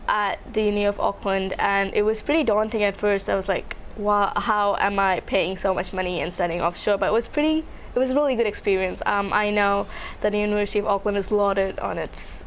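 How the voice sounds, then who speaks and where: A woman's voice: mid-range voice, slight background noise. A young woman from India, a psychology student, answering panel questions in a casual, chatty way. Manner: casual, chatty, with fillers and light laughs.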